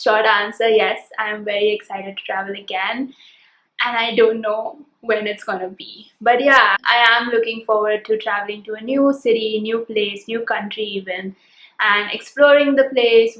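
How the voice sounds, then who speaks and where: A woman's voice: mid-range voice, echoey room, dull audio. A woman in her twenties with an Indian English accent, answering viewers' questions in an earnest, reflective casual vlog. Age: 20s. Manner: earnest, reflective, listing things one by one. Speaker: vlogger.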